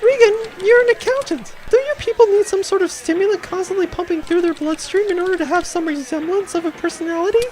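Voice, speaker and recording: A woman's voice: very high voice, quick pace, few pauses, darkish tone. A woman playing a pert, blunt, teasing crew member on a pirate spaceship in a comedy audio drama. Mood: needling.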